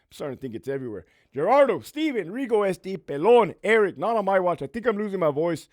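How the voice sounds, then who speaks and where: A brash former prison guard talks in a loose, profane monologue, his pitch swinging up and down with energy. His voice sits fairly high for a man and sounds close and dry. He throws in street slang and laughs between lines. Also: sing-song, fast talker, no room sound. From California. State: losing his voice. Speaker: YouTube host, former prison officer. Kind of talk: casual profane monologue, reading supporter names.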